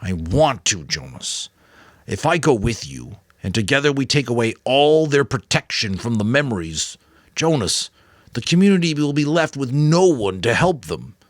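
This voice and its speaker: A man's voice: medium pitch, very animated, dry recording, studio quality, voice pushed up, close to an everyday voice. A man voicing a weary but firm old mentor, grave, caring and earnest, in a dramatic audiobook reading. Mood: firm, alarmed. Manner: earnest, emphatic, deliberate.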